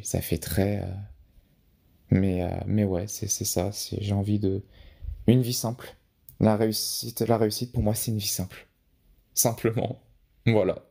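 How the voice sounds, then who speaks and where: Young male guest, low gravelly voice, mellow tone, halting and unpolished delivery. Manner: hesitant, many restarts and fillers. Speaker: podcast guest, photographer. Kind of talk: casual interview answers.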